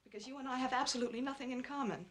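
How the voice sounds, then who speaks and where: Actress doing a stubborn melodramatic young wife, super high voice, emphatic romcom acting, bit of background noise. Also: female voice, rapid-fire, natural voice. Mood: wounded, stubborn. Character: young wife, emotional, stubborn and dramatic, quarrelling with her husband. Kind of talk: theatrical romantic comedy acting.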